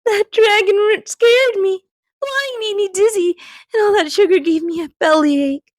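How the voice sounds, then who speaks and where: Woman putting on a jittery high-voiced magic rock spirit. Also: measured pace, dry recording, clean studio sound. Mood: frightened. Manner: fretful, quavering, easily frightened. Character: timid female wish-granting rock spirit.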